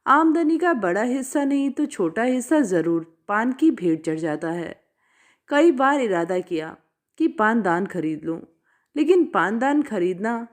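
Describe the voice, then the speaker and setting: High-pitched, expressive Indian woman storyteller. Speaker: story narrator. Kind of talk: expressive audiobook-style reading of a classic short story.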